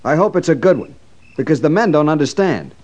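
An actor playing an earnest, respectful but persistent cavalry subordinate officer in a 1950s radio western, speaking in a measured way. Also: male voice, on the high side, animated, a little mellow. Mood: earnest, concerned. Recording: dull, muffled old radio recording.

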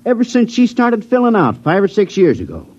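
An actor playing a gruff, weary backwoods father with a folksy rural American drawl, in the style of old radio comedy. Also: high-pitched, huge pitch swings, fairly quick, a little mellow, full character voice. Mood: wary, aggrieved. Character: gruff, weary backwoods father who likes his liquor. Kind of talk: 1940s-50s radio comedy acting. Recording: dull old radio recording.

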